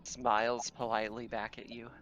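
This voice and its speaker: A man's voice: mid-range voice, little pitch movement, moderate pace, fairly bright tone, a little hiss or hum. A man running a tabletop roleplaying game, narrating casually as game master.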